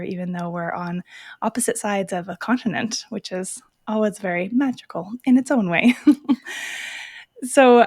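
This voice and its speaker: A woman hosting a spiritual podcast, reading a soft intro and then chatting warmly with her guest. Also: mid-range voice, dry recording, clean studio sound. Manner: soft, gentle scripted intro turning upbeat and chatty. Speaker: podcast host.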